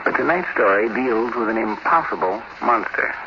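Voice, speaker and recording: A man hosting a 1950s radio anthology, musing in a halting, conversational way. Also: fairly low pitch, lively intonation, noisy background. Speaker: host of a science fiction anthology. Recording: muffled vintage radio, loud music behind. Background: loud music.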